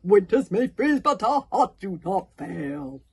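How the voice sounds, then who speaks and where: A woman voicing a magnificent warrior woman commander in a storybook, booming, grand and commanding. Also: big pitch swings, slow, breathy edge, exaggerated voice. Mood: grand, rousing.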